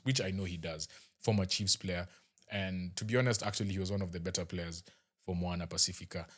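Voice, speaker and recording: A young man with a Kenyan accent, casually reviewing a rugby match as host, chatty and halting with lots of fillers and restarts. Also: low-pitched, a bit bright.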